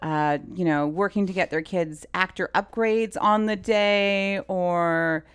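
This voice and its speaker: Low, smooth-voiced woman telling casual stories. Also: takes it slowly. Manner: animated storyteller, quoting dialogue, frequent "like". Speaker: interview guest, film assistant director. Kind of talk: casual anecdotal chat.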